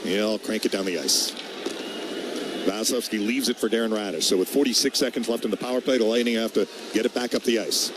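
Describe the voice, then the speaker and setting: A man calling live hockey play-by-play on the radio, naming each move in clipped, rapid-fire phrases. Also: lowish voice, background noise. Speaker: play-by-play announcer.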